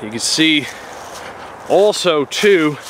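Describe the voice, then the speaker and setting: A man's voice: fairly high pitch, lively intonation, slow pace, fairly bright tone, a little hiss or hum, low-quality recording. A man with a New Jersey accent casually describing what he finds while walking through the woods, talking into a handheld camera with plain, filler-dotted narration. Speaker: outdoor explorer vlogger. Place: woods outdoors.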